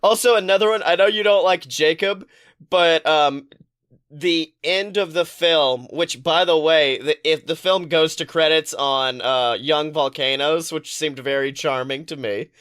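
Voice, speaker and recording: Higher-voiced man, animated and sweary. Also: measured pace, clear, resonant tone. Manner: animated, profane, rambling storytelling. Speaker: podcast co-host. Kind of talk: casual pop-culture chat.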